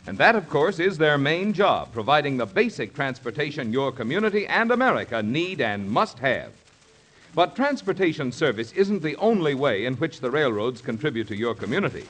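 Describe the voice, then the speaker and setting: A man's voice: on the high side, big pitch swings, moderate pace, fairly bright tone. A man reading a scripted 1950s radio sponsor announcement in a formal, polished salesman's manner. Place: radio studio. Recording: vintage 1950s radio broadcast.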